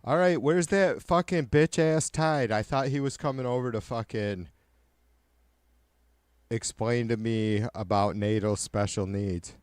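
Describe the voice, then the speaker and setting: Raspy, nasal, foul-mouthed man streaming. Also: medium pitch, unhurried, darkish tone, no echo, clean studio sound. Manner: profane, blunt, joking. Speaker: livestreamer. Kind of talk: casual rambling livestream chat.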